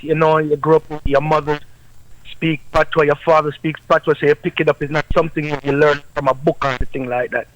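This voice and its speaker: A man's voice: a little high, fairly bright tone, no room sound, dull audio. A man calling a radio show, giving his opinion in casual conversation. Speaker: radio caller.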